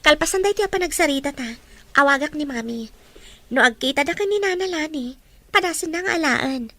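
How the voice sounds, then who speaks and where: A woman acting as a helpful young daughter with an Ilocano accent in a radio drama. Her voice is very high and bright, with an eager, loyal friend's manner. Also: animated, brisk, no echo, full character voice. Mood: encouraging.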